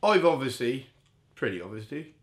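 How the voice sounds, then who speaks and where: A man's voice: medium pitch, sing-song, moderate pace. A middle-aged man telling casual anecdotes as a bodybuilder guest in an interview, candid, jokey and self-deprecating.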